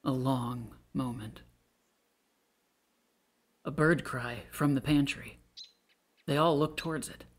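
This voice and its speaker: A man's voice: medium pitch, takes it slowly, pauses often. A man reading stage directions as scripted narration for a play.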